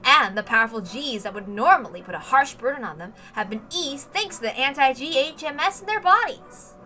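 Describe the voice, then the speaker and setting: A woman's voice: sits high, animated, moderate pace, bright, forceful tone. A woman reading a story aloud as dramatic scripted narration, with emphatic, theatrical delivery. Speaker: reader of a visual novel.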